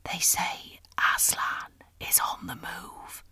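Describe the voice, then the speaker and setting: A woman reading a storybook aloud, voicing a secretive male beaver in a hoarse, throaty whisper. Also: takes it slowly, bright, forceful tone, no echo.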